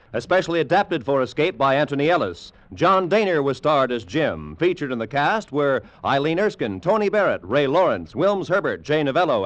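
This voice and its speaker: A man's voice: on the high side, no echo. American man, 1940s network announcer, formal scripted read, very inflected, dull old radio recording. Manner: polished, formal announcer delivery. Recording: muffled vintage radio recording.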